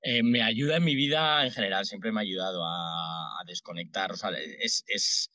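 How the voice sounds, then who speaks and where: A man's voice: low-pitched, moderate pace, pushed and bright, no echo. A man in his late thirties with a Madrid Spanish accent, talking informally and conversationally as an interview guest. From Madrid, Spain. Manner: informal, colloquial. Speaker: podcast guest, entrepreneur.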